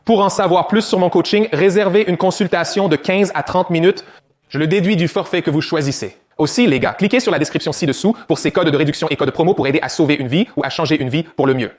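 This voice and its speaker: A man's voice: on the high side, quick pace. A man coaching viewers through a back exercise, giving direct step-by-step instructions on form. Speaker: fitness coach.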